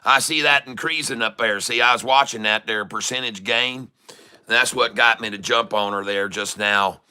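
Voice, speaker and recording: A man with a very bright, sharp voice and a thick Southern US drawl. He plays up the folksy country-boy talk, boasting and cussing casually like a livestream host hyping up his followers. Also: medium pitch, fairly even intonation, moderate pace, smooth. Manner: chuckles often, swears casually. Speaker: livestream stock trading host. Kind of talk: casual livestream chat, hype trading commentary.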